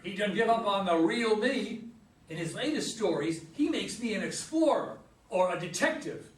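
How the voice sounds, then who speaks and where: A man's voice: high-pitched, expressive, normal speed. A man acting a feisty older rural American in a play reading, folksy and exclamatory, with an American accent. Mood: jovial, teasing. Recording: distant mic in echoey room.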